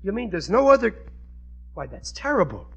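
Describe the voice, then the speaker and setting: An actor playing a naive, self-important American working-class husband in an old radio comedy, blustery, folksy and ungrammatical. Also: male voice, sits high, sing-song, moderate pace, vocal fry, fairly bright tone, breathy edge, lo-fi sound, heavily acted. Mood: indignant. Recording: vintage radio recording with studio audience.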